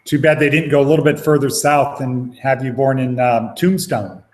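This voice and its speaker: Guy hosting an interview, mid-pitched voice, easy friendly questions, call audio. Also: steady tone, moderate pace, a little mellow. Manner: friendly, leading questions with short prompts. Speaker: interview host. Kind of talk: casual interview.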